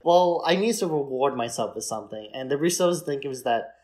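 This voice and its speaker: A man's voice: mid-range voice, animated, brisk, darkish tone. A man co-hosting a casual discussion podcast, speaking informally and peppering his sentences with filler words. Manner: informal, frequent use of "like". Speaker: podcast co-host.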